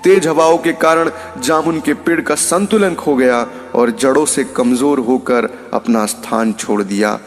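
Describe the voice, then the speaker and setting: A man's voice: mid-range voice, a little slow, few pauses, in a large hall, soft background music. A man narrating a scripted moral story as a storyteller.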